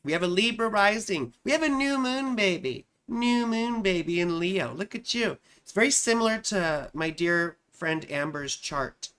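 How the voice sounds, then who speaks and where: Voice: mid-range voice, expressive, measured pace, hardly any pauses, fairly bright tone, clear, resonant tone. A chatty astrologer hosting a live stream, giving casual chart readings, talking straight to viewers and often repeating phrases. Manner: chatty, repeats her own phrases, addresses viewers directly.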